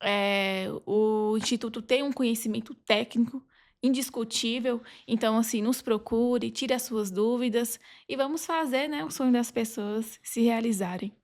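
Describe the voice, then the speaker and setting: A woman's voice: on the high side, normal speed, darkish tone. A woman with a Brazilian Portuguese accent speaking as a podcast guest, explaining things from experience in an informal, conversational way. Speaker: podcast guest, legal advisor.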